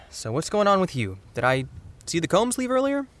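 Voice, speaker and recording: Sardonic teenage boy, swooping pitch. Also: wildly swooping pitch, moderate pace, fairly bright tone, exaggerated voice. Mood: exasperated. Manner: sardonic, sighing, exaggerating. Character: wry, sarcastic teenage boy next door, grumbling and cynical. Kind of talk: naturalistic audio drama acting.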